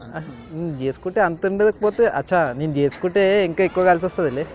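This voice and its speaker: A man's voice: a little high, fast talker, darkish tone, slight background noise. A man making a teasing prank phone call. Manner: teasing, cheeky. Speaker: prank caller.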